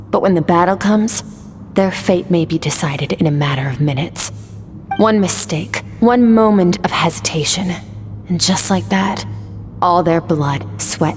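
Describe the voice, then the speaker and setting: A woman playing a stern, cool-headed female warrior mentor in a video game, speaking in a composed, measured, matter-of-fact way. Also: on the low side, a little mellow, lightly acted. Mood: grave warning. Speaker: combat trainer. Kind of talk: scripted video game voice acting. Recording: long reverb, music underneath.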